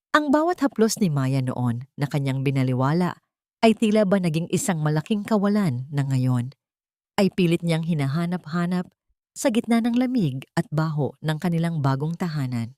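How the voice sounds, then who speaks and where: Low-voiced woman narrating a dramatic story. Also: darkish tone, no room sound, clean studio sound.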